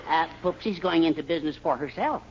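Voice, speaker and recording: An actor playing a meek, doting henpecked husband, fussy and hesitant, in a 1940s radio sitcom. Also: male voice, a little high, fast, slight background noise, close to an everyday voice. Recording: muffled 1940s radio recording.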